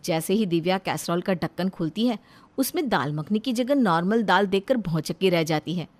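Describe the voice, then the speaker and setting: A woman's voice: on the low side, animated, normal speed. A woman narrating a scripted family story.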